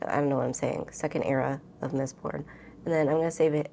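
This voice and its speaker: A woman's voice: low-pitched, fairly even intonation, quick pace, vocal fry, mellow, a little hiss or hum. A woman book vlogger talking casually and informally, rambling and thinking aloud as she answers questions. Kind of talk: casual chatty vlog, answering tag questions.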